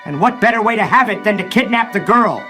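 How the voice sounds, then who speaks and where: Shrill, high-pitched wicked mad scientist. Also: male voice, expressive, fast, sharp tone, soft background music. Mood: gloating, scheming. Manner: theatrical, gloating, barking orders. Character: gleefully wicked mad scientist villain, scheming and bullying. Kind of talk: comic dub voice acting.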